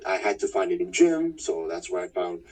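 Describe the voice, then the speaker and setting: Easygoing guy in his 30s from winnipeg, dark mellow voice, rambles a bit, sounds far from the mic. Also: low voice, big pitch swings, brisk. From Winnipeg, Manitoba, Canada. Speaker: fighter, interview guest. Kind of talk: casual interview chat.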